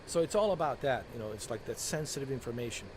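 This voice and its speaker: A man's voice: medium pitch, lively intonation, quick pace. Chatty man with a slight Bosnian accent. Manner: rambling, opinionated, talks to viewers as "guys" and "bro". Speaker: gaming video creator. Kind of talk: casual gameplay commentary. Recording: loud background noise.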